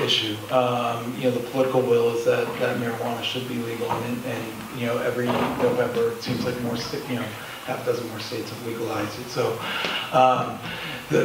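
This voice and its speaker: A man answering as a panelist in a public discussion, speaking haltingly with many pauses. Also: medium pitch. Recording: distant mic, echoey room, noisy.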